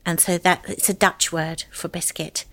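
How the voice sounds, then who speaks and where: A woman's voice: on the low side, little pitch movement, normal speed, fairly bright tone. A woman, a food historian, answering interview questions in a chatty way with fillers and restarts.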